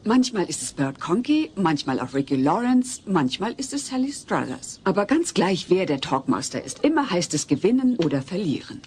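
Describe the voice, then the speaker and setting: A woman's voice: on the low side, big pitch swings, moderate pace, hardly any pauses, a little mellow, a little hiss or hum. A woman playing an earnest family mother with dry humour in a comedy audio play.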